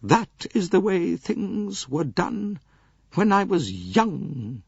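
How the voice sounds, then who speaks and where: A man voicing a proud, bitter elderly princess in an audiobook, speaking with slow, haughty, dramatic emphasis. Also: high-pitched, big pitch swings, creaky, breathy edge, no room sound, highs cut off, voice pushed up, full character voice. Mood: vengeful.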